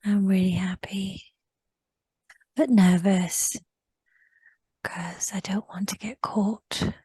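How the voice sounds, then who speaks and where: Slow whispering woman, breathy. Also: medium pitch, steady tone, dry recording. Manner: soft whisper, slow, repeats short phrases. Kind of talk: whispered first-person recollection in a guided session.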